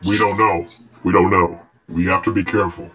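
Man acting a low-voiced bossy animatronic bear leader. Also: level tone, fairly quick. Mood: cautious. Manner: authoritative, exasperated with his bandmates. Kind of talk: amateur roleplay voice acting. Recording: loud music under the voice.

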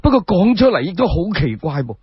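A man's voice: high voice, sing-song, fast talker, breathy edge, no room sound, muffled recording, full character voice. A man voicing a young servant boy who reports news in an earnest, exclaiming way. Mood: earnest, amazed.

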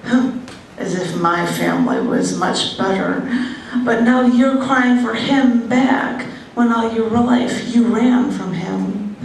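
A middle-aged woman giving an expressive, conversational reading of a personal narrative poem at an open mic. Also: mid-range voice. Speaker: poet. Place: indoor room at a poetry open mic. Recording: echoey, noisy room, distant-sounding live recording.

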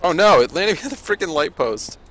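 A man's voice: on the high side, a little fast, rough recording. Casual young man streaming a game. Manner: playful, excitable. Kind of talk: casual live gameplay commentary. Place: indoor room at a streaming desk.

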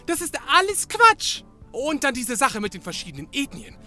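A man's voice: extremely high pitch, wildly swooping pitch, normal speed, bright, forceful tone, soft background music, full character voice. A man playing a nervous, fussy detective's assistant in a comedy audio drama, chattering and stumbling over words. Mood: indignant. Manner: fussy, stammering, indignant.

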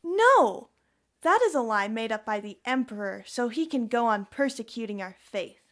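Lady acting devout roman wife in a radio play, patient teacher type, a bit high pitched. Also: expressive, normal speed. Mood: firm. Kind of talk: audio drama acting.

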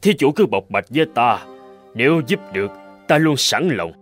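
A kindly, upright monk from a cartoon folk tale, played by a man. His mid-pitched voice moves expressively as he speaks with formal, earnest courtesy. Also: big pitch swings, normal speed, faint music behind, lightly acted. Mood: concerned, kind. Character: kindly, upright adult Buddhist monk, easily flustered. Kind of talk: Vietnamese animated folk tale dubbing.

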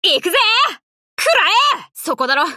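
An actress voicing a brash, cocky but loyal young tomboy warship girl in an anime game, with rough, boyish, casual speech. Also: very high voice, very animated, moderate pace, pushed and bright, no room sound, clean studio sound, full character voice. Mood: fierce, commanding. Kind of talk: Japanese anime game character voice lines.

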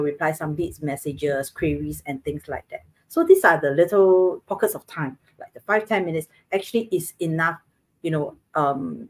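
A Singaporean woman in her thirties speaks from her living room over a webcam, explaining her routine earnestly with plenty of fillers. Her voice is slightly low and her Singaporean English accent is clear. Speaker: co-host. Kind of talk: casual live-stream chat. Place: home living room, indoors, video call. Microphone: webcam on video call.

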